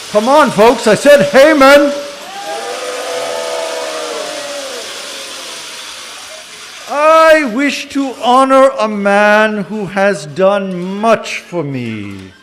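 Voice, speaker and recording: A performer voicing a pompous, excitable puppet king in a children's puppet show, with drawn-out theatrical delivery. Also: medium pitch, a little hiss or hum. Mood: commanding.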